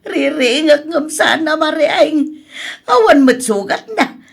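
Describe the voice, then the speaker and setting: An actress as an insistent grandmother in a radio drama, speaking slowly in a slightly high voice. She is boastful and quick to get distraught. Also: lively intonation, creaky, heavily acted. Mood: alarmed, tearful. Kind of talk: Ilocano radio drama acting.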